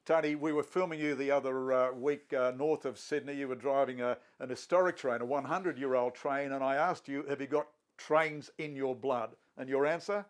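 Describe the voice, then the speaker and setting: A male host interviewing panel guests, prompting them with lively questions. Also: mid-range voice, moderate pace, few pauses.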